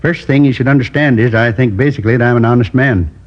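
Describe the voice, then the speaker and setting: A man's voice: medium pitch, close to an everyday voice. A man playing a lonely old drifter, wistful. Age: 70s. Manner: slow, rambling, wistful confession. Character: lonely old male drifter turned robber. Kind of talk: 1950s radio drama acting. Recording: dull old radio broadcast.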